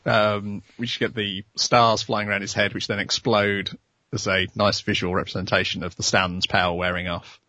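A man's voice: lowish voice, flat delivery, normal speed, sharp tone, dry recording, poor audio. Male podcast host, casual wry recap, slight voice loss, dry close sound. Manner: wry, giggly storytelling. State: losing his voice. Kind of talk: casual chat.

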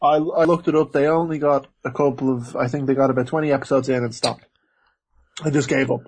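Husky man joking around. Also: medium pitch, steady tone, brisk. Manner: joking, rambling with restarts. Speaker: podcast co-host. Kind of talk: casual chat about animation.

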